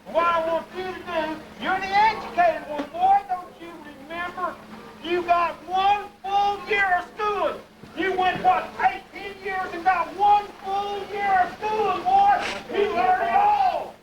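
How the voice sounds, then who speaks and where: Boastful hick father, drawl, high and loud, played by a man at a noisy open-air show. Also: echoey room, lo-fi sound. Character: boastful rustic frontier father, middle-aged. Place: outdoor western town street show. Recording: noisy outdoor live show.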